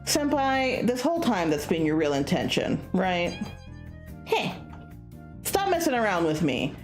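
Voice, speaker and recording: A woman's voice: medium pitch, wildly swooping pitch, unhurried, faint music behind. A woman voicing an anxious young male student in a visual novel, hesitant, self-conscious and a little sulky. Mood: sulky, worried.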